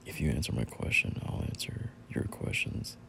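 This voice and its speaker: A young man playing a bashful, teasing friend turned boyfriend in an intimate roleplay audio, speaking in halting, murmured slang. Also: sharp tone, a little hiss or hum, lightly acted. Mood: stunned, unsure.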